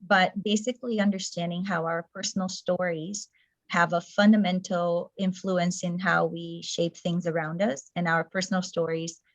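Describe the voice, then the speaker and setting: A woman's voice: fairly low pitch, level tone, normal speed, dry recording, poor audio. A middle-aged woman whose first language is Portuguese gives a thoughtful talk over a video call, with frequent fillers and restarts. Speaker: presenter.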